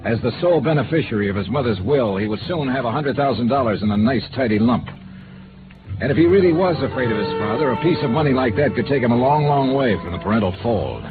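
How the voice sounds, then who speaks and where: A man's voice: medium pitch, lightly acted. Man playing hardboiled american private eye in an old radio show, wry n clipped, tinny sound, music under. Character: hard-boiled male freelance insurance investigator, wry and cool. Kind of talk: 1950s radio noir first-person narration and dialogue.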